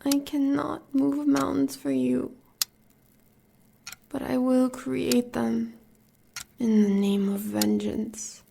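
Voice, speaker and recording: An American woman playing a fierce, devoted werewolf huntress consoling her lover in an audio roleplay, solemn and measured, with a breathy voice. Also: sits high, level tone, dark tone, a little hiss or hum, exaggerated voice. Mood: fierce devotion. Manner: solemn, measured, poetic delivery.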